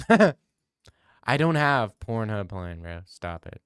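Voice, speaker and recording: Young male streamer, loose profane banter, smooth voice, dry close sound. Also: on the low side, very animated, extremely slow, no room sound. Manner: loose, profane banter, reads chat aloud and riffs. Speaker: streamer answering chat. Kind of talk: casual live-stream chat.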